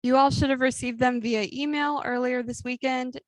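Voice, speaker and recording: A young woman chairing a student government meeting, running through the agenda in a brisk, lightly amused way. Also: sits high, steady tone, no echo. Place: meeting with a Zoom link.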